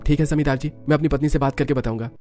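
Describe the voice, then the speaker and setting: A man plays a poor, earnest village husband in a cartoon story. His voice sits a little high for a man and moves quickly, sounding worried and plain-spoken. Soft music runs underneath. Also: fairly even intonation, natural voice. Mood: deferential. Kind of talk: Hindi cartoon story voice acting.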